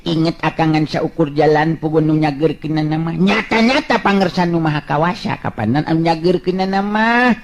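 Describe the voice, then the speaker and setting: A man's voice: fairly high pitch, moderate pace, hardly any pauses, pitched above the performer's own voice, close to an everyday voice. A man voicing a cheerful, humble, teasing male folk hero in a storytelling, with a coaxing, chuckling way of talking. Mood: earnest, humble.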